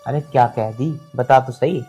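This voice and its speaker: A man's voice: a little high, brisk, slightly rough, soft background music. Man playing an insistent young husband. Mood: coaxing. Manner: insistent, coaxing. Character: young husband caught between wife and mother. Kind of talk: family drama skit.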